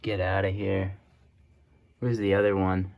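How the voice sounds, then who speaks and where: Slow-paced man muttering wry asides. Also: low-pitched, level tone, gravelly, a little mellow. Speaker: hobbyist restorer and video host. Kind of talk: casual off-the-cuff workbench commentary.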